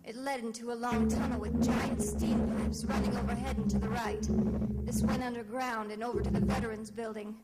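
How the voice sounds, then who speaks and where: A plucky lady detective from vintage radio drama. Her voice sits fairly high and tells the case crisply and dramatically, with loud music behind her. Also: sing-song, brisk. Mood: urgent, suspenseful. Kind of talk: old-time radio mystery acting and narration.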